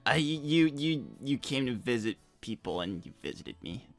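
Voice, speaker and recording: A man's voice: mid-range voice, big pitch swings, gravelly, soft background music. A man voicing an insecure, earnest young hedgehog who rambles, stammers and repeats himself in improvised livestream roleplay. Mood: hesitant, stunned. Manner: halting, stammering, repeats words.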